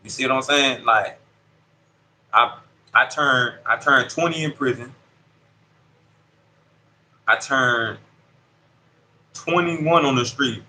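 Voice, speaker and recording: A man in his thirties speaks from a prison dorm with a bright, forceful voice, talking in street slang and often checking that the listener follows him. Faint ambient noise sits behind him. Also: medium pitch, takes it slowly, long pauses, low-quality recording. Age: 30s. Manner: street slang, keeps asking "you see what I'm saying". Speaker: incarcerated interviewee. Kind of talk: casual conversational storytelling.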